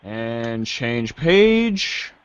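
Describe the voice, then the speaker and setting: A man's voice: medium pitch, sing-song, drawn-out words, smooth, dry recording. A man narrating as host of a reader's theater, theatrical in the story and casual in asides, on a slightly dull recording.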